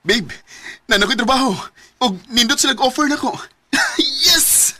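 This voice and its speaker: An actor playing an ambitious, affectionate young boyfriend in a Filipino radio drama, with a Cebuano accent and an eager, sweet-talking manner. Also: male voice, extremely high pitch, lively intonation, unhurried, music in the background. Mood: excited. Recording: noisy scene with background ambience.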